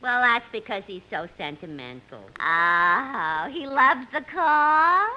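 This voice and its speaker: A woman's voice: a little high, big pitch swings, slow, highs cut off. A woman playing a gossipy, wisecracking telephone operator in a 1950s radio comedy. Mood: gossipy, wry. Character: brassy, gossipy female telephone switchboard operators. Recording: noisy 1950s radio recording.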